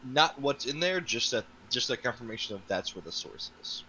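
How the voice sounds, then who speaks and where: A man narrating as game master of a tabletop game, halting with fillers and restarts. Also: mid-range voice, expressive, pushed and bright, muffled recording, slight background noise.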